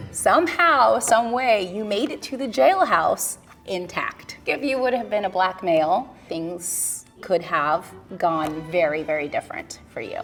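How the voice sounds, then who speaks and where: Woman, mid pitch, direct and pointed, faint music under the talk. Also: animated, measured pace, fairly bright tone. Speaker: partner in a couples question game. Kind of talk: candid casual conversation.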